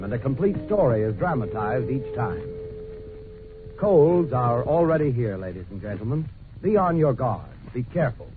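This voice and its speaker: A narrow, hissy vintage broadcast features a male announcer. He speaks at a medium pitch in a formal, measured 1940s radio style, with the polish of a practised pitchman. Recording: scratchy, muffled old radio broadcast recording.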